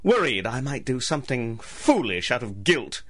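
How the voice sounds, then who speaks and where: An actor playing an embittered, scheming ruined gentleman in a radio drama, with formal, theatrical speech. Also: medium pitch, very animated, normal speed. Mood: smug. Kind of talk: 1930s-set radio drama acting.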